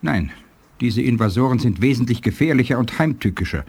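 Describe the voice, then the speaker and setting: A husky male alien elder, learned and solemn. His medium-pitched voice moves expressively through grave, measured explanations in 1980s radio-play style. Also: expressive, darkish tone. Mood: grave warning. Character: learned, solemn alien elder statesman, calm but ominous.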